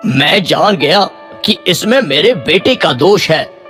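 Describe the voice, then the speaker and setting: A man's voice: a little high, animated, slow pace, fairly bright tone. A man playing a humble, devout village farmer and father in a cartoon moral story, speaking earnestly and reverently. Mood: apologetic, pleading. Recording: music behind the voice.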